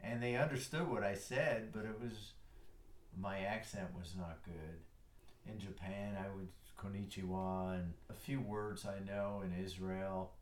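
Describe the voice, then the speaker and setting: This is a retired older man with a deep, low voice, chatting in an easy, self-deprecating way. He sits back from the mic, and the room echoes around him. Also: fairly even intonation, a little slow, distant mic. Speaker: guest, retired airline pilot. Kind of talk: casual chat.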